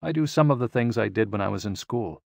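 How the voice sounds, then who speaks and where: A man's voice: fairly low pitch, animated, fast, vocal fry, no echo, studio quality. A man voicing a contented, very old woman who reminisces simply and reflectively in an audiobook. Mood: fond, reflective. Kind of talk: audiobook quotation.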